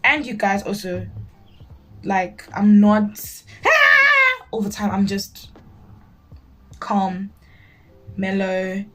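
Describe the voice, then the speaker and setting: A woman's voice: medium pitch, fairly even intonation, slow pace, long pauses, loud music behind the voice. A nineteen-year-old woman chatting casually to viewers as she does her makeup, blunt and emphatic, often repeating herself for emphasis. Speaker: beauty vlogger. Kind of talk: casual chat while doing makeup, answering viewer questions.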